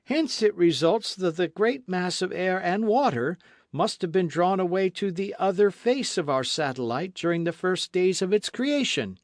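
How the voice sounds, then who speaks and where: A man's voice: high voice, lively intonation, moderate pace, no room sound, clean studio sound, voice pushed up. A man reading a book aloud, voicing a bold, witty adventurer in a jaunty, confident manner. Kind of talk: audiobook character reading.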